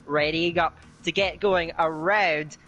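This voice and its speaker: A young Scottish man commentating live on an online racing league, chatty and filling pauses with "uh". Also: on the high side, steady tone, sharp tone, lo-fi sound. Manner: chatty, with frequent "uh" fillers and a quick laugh. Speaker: esports race commentator.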